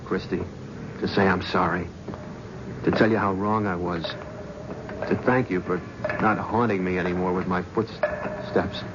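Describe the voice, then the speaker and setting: A guilt-ridden middle-aged agent, earnest and emotional, with a mid-range voice. The recording is muffled old radio with clear hiss. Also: male voice, on the low side. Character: remorseful middle-aged male talent agent. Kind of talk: 1970s radio drama acting.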